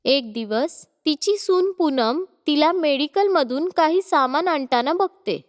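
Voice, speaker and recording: A woman narrating a cartoon story in a slow, even storytelling manner. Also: sits high, long reverb tail.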